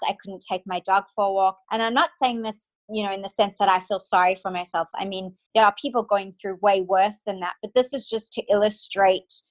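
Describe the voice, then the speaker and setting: A woman in her thirties speaks as an interview guest, earnest and visibly moved, sometimes halting as she searches for words. Her pitch sits in an ordinary middle range. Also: rough recording. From Australia. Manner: earnest, emotional, halting at times. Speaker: guest, clinical psychologist. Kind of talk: remote interview.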